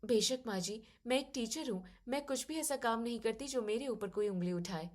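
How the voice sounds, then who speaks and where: A woman playing an educated young daughter-in-law who is soft-spoken, polite and firm as she reasons in an audio story. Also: fairly high pitch, normal speed, natural voice. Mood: polite, determined.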